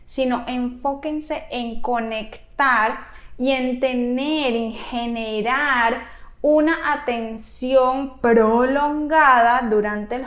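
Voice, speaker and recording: A woman with a high voice explains things to parents as a therapist would in an advice video. She takes her time and speaks with animated emphasis. The room adds noticeable echo around her voice. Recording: roomy, echoey room sound.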